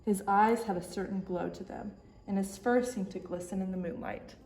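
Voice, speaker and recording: A woman narrates a children's picture book with expression. Her pitch sits in the middle range, and the room around her voice echoes. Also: fairly even intonation, normal speed, a little mellow, soft background music. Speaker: story time reader. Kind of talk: expressive children's storybook reading.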